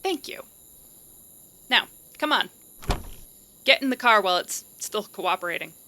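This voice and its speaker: A woman's voice: mid-range voice, animated, a little slow, a crowd in the background. A woman playing a scrappy, determined and protective young leader in an audio drama, casual, blunt and conspiratorial. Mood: earnest, firm.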